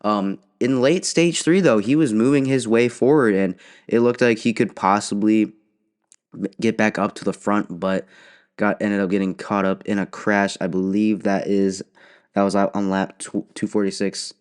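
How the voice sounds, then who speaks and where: A man's voice: fairly low pitch, steady tone, normal speed, clean tone. A young man with a cold, sniffling, hosting a casual solo race recap podcast with many fillers and restarts. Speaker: podcast host.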